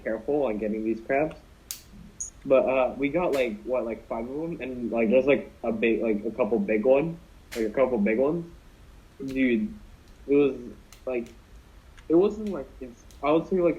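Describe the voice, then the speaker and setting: A man's voice: mid-range voice, mellow. A young man casually telling a story to friends on a podcast, rambling with many fillers and restarts. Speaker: podcast co-host. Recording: dull lo-fi podcast audio.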